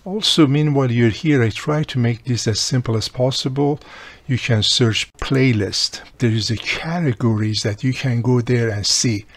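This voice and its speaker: Gravelly, husky man explaining step by step. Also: mid-range voice, moderate pace, no room sound. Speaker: host of a radio repair channel. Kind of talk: instructional video narration.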